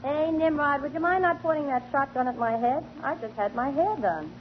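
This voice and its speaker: A woman's voice: high-pitched, brisk, close to an everyday voice. A woman playing a sassy, wisecracking nightclub singer with dry, teasing delivery, in 1940s radio comedy style. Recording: muffled, noisy old radio recording.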